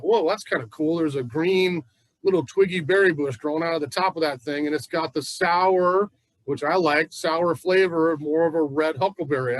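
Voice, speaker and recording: Chatty, folksy male nursery instructor, slightly high voice, dry close sound. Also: dry recording, poor audio. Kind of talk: casual gardening class talk. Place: plant nursery.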